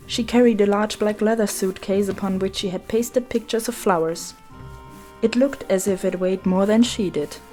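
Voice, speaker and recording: A woman's voice: medium pitch, dark tone. A woman reading a children's story as its narrator, in a scripted storybook style. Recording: music behind the voice.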